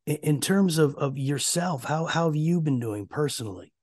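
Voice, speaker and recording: Husky, halting old film star played by a man. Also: a little high, lively intonation, a little mellow, no room sound, studio quality. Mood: polite, halting. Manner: halting, polite, repeats himself. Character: elderly famous American film actor, polite and deferential. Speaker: radio prankster. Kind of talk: prank phone call. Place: small radio studio.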